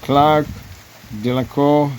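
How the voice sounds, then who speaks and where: A man voicing a brisk, formal village official who calls out to a crowd in a storybook reading. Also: fairly high pitch, little pitch movement, darkish tone, a little hiss or hum, voice pushed up. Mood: loud, announcing.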